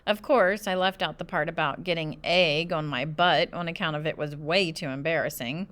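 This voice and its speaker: A woman reading a children's book aloud as an expressive narrator. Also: low voice, normal speed. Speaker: storybook reader.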